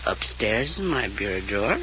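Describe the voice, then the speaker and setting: Job, a tipsy mocking drunk. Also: male voice, lowish voice, very animated, normal speed, pushed and bright. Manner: tipsy, drawling, chuckling mockery. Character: drunken sardonic man of the house, flippant and mocking. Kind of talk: 1940s radio acting. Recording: dull lo-fi old radio transcription with faint hiss.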